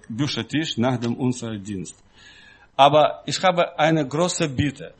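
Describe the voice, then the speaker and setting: A man preaching in a non-native, foreign-accented voice, halting and simply phrased, with emphatic repetition. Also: on the high side. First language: not German. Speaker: guest preacher. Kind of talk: sermon-like teaching talk to a congregation.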